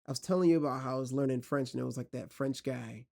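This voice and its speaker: A man's voice: medium pitch, fast talker, gravelly, darkish tone. A man hosting a podcast, asking long rambling questions in a casual, friendly way. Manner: rambling, friendly, many fillers. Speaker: podcast host. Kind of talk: casual interview chat.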